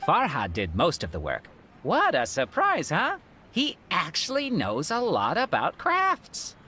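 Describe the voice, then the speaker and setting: Playful, very animated teasing friend. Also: female voice, lowish voice, a little slow, slight background noise, heavily acted. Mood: amused, placating. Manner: breezy, teasing, chatty. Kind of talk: video game voice acting. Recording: music behind the voice. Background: music.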